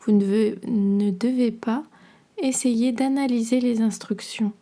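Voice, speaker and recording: Slow, mellow woman guiding relaxation. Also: a little high, fairly even intonation. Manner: soothing, measured, instructional delivery. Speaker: podcast host and yoga nidra guide. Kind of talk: guided relaxation meditation.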